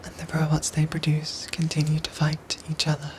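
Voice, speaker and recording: Actress as caring robot soldier: hushed, breathy whisper, formal measured diction, comforting ASMR audio drama, with noticeable ambient noise behind. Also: female voice, deep voice, completely flat delivery, close to an everyday voice, low-quality recording. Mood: grave, gentle. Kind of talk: whispered ASMR roleplay acting.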